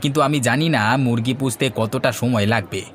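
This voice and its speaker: A man as an excitable, doubting cartoon villager. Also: medium pitch, moderate pace, slight background noise, close to an everyday voice. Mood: hopeful. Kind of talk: comic Bengali cartoon dialogue. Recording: music behind the voice.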